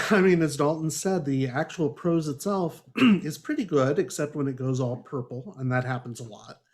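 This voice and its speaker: Higher-pitched man hosting a casual book chat. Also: normal speed. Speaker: podcast host moderating a book discussion.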